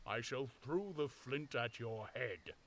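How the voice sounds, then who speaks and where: A man voicing an invisible man who speaks slowly and deliberately, pressing each point. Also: medium pitch, animated, a bit bright, slight background noise. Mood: insistent. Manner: slow, deliberate, emphatic. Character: calm, insistent invisible man, measured.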